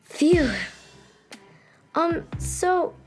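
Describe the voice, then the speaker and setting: A woman's voice: extremely high pitch, drawn-out words, a little mellow, heavily acted. An actress playing a giant, hot-tempered and boastful inflatable summer girl in a children's radio play, with a dramatic, exclaiming delivery. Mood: breezy, woozy.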